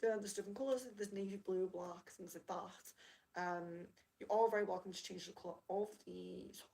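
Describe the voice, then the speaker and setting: A woman hosting a casual livestream, chatting and apologising to viewers as she reads out their comments. Her voice has a gravelly fry and sits at a middling pitch. She is slightly hoarse from losing her voice. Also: fairly even intonation, a little fast, dark tone. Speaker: livestream rune reader.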